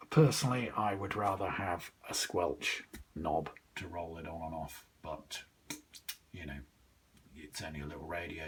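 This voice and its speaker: Man, low voice, bright edge, casual gadget demo, halting thinking-aloud delivery, faint background noise. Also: recorded from a distance, poor audio. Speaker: radio hobbyist reviewer. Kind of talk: casual hands-on product demonstration.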